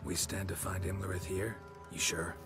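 A man playing a gruff, weary monster hunter in a fantasy game, speaking. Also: low voice, normal speed, close to an everyday voice. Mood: terse, stoic. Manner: terse, clipped, deadpan delivery. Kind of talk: cinematic fantasy game acting. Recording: music behind the voice. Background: game music.

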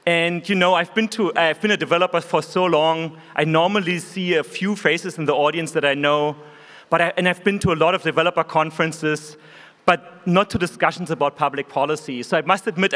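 A man in his forties with a German accent, giving an earnest, measured keynote speech from a conference stage podium microphone. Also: fairly high pitch, a bit bright. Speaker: keynote speaker. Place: large conference hall stage. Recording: hall reverb.

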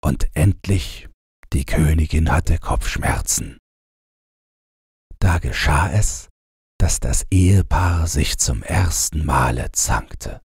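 A man's voice: extremely low pitch, takes it slowly, vocal fry, husky, no echo, clean studio sound. A man narrating a bedtime fairy tale as an audiobook reader.

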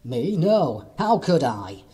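A man voicing a female animal in a children's storybook, protesting innocence in a drawn-out, matter-of-fact manner. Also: on the high side, big pitch swings, dark tone.

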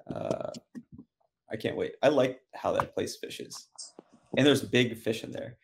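A man's voice: mid-range voice, slow pace, gravelly. A man talking casually as a guest on a group podcast. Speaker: podcast guest, tournament angler. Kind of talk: casual chat. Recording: faint noise and clicks.

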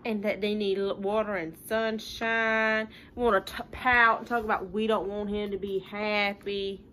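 A woman's voice: medium pitch, flat delivery, unhurried, sharp tone, slight background noise. A young woman, talking informally to pupils and parents in a folksy, plain-spoken way with rhetorical questions. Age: young adult. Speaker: schoolteacher. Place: living room at home.

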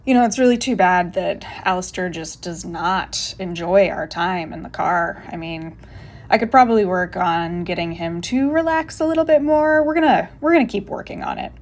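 A woman's voice: fairly low pitch, animated, normal speed. Easygoing woman narrating a pet vlog. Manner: easygoing, chatty, laughs between phrases. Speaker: veterinarian and channel host.

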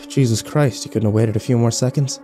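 A man's voice: mid-range voice, steady tone, moderate pace, mellow, soft background music, natural voice. A man playing a sarcastic, battered young man who narrates his own horror audio drama with wry, sweary self-deprecation. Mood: exasperated.

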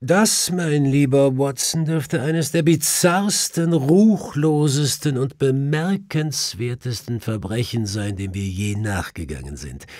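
A man voicing a grave, inquisitive Victorian gentleman detective in an audiobook, speaking formally and with emphasis. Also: mid-range voice, takes it slowly, few pauses, no echo, studio quality, voice pushed up, lightly acted. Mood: grave, emphatic. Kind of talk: audiobook character voice.

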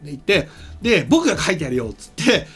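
A man's voice: a little high, big pitch swings, fast talker, a bit bright, husky, faint music behind. A middle-aged man hosting a show, chatting casually and thinking aloud as he rambles through announcements. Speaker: show host and scriptwriter. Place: small studio set, indoors. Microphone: desk microphone on a stand.

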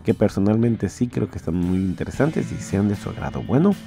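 A male podcast host chats in a folksy Mexican accent. His voice is deep and dark with lively intonation, over light guitar music. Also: fairly quick, vocal fry. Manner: folksy, chatty. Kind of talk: casual commentary on a radio serial.

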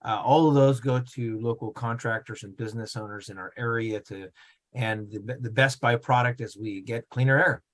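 A man's voice: medium pitch, steady tone, moderate pace. Board chair, man, mid voice, formal thanks, video call from an office. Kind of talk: chairing a public meeting.

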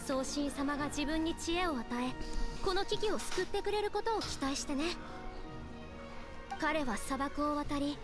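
A woman playing a gentle, devout young woman in a Japanese anime game, speaking earnestly and politely. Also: high-pitched. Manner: earnest, polite feminine phrasing.

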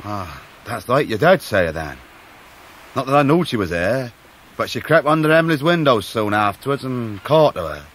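A man's voice: medium pitch, lively intonation, fairly bright tone, slight background noise, close to an everyday voice. Man playing humble fisherman. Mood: troubled. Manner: halting, deferential, earnest. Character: humble, tender-hearted working-class young fisherman. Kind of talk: 1990s radio drama acting.

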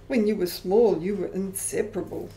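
A woman's voice: medium pitch, normal speed, mellow, lightly acted, poor audio. A woman playing a friendly, chatty, motherly vampire countess in an amateur radio play. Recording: faint background noise.

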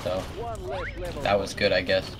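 A man's voice: deep voice, monotone, a little slow, very noisy. A teenage boy hosting a casual gameplay video, rambling and self-deprecating, laughing often. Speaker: gaming channel host.

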